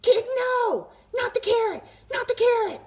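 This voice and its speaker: A woman's voice: on the high side, sing-song, a little fast, darkish tone, breathy edge, pitched above the performer's own voice, full character voice. Woman voicing a bossy tough-guy tooth. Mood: panicked. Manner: bossy, threatening.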